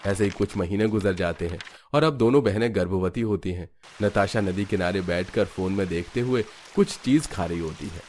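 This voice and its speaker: A man's voice: sits low, normal speed, hardly any pauses, a little mellow, slight background noise. A man reading scripted narration for a cartoon family story as its narrator.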